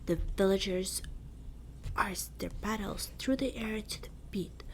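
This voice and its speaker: A woman reading a children's storybook in ASMR style, soft-spoken and deliberate, often slipping into a whisper. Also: medium pitch. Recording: clear background noise.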